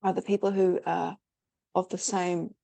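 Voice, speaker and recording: A middle-aged woman speaks as an online interview guest. Her voice sits low and mellow, and the sound is dry and close. She wanders thoughtfully through her answers, full of fillers and false starts. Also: flat delivery, a little slow, vocal fry, dry recording. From New Zealand. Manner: rambling, thoughtful, many "sort of" fillers and restarts. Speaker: interview guest, spiritual teacher.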